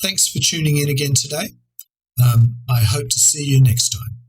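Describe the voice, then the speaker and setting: A man's voice: a little high, moderate pace, husky, rough recording. Man narrating a scripted writing lesson. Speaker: writer presenting an educational video.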